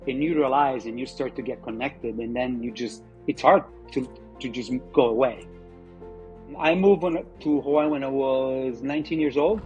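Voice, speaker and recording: A man, telling his own story in an interview, reflective and halting with many fillers and restarts. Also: medium pitch, muffled recording, over music. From Italy. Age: 50s. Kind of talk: personal testimony interview.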